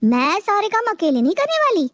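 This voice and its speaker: A woman playing a sly, lazy younger daughter-in-law in an animated moral story, wheedling and petulant. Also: very high voice, brisk, no echo. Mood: petulant, defiant.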